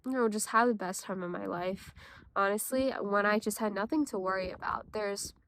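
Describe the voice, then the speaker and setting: A woman's voice: mid-range voice, moderate pace, a bit bright, dry recording. A teenage girl from China reflecting in a personal vlog, thoughtful and hesitant, with many fillers and restarts. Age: teens. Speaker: vlogger.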